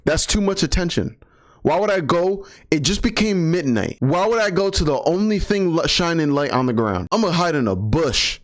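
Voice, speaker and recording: A man's voice: fairly high pitch, expressive, moderate pace. A man giving casual, profane reaction commentary, incredulous and joking, slangy and repeating himself for emphasis. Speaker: reaction video host.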